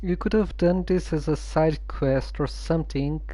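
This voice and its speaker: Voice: mid-range voice, mellow, dry recording, poor audio. A streamer giving casual, musing commentary while playing a story game. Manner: slow, musing.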